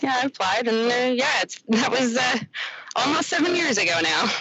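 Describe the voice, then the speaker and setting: A young woman from Quebec, guest on a podcast, talking casually with many restarts and soft laughs. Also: a little high, lively intonation, moderate pace, lo-fi sound, background noise. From Quebec, Canada. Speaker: ironworker guest.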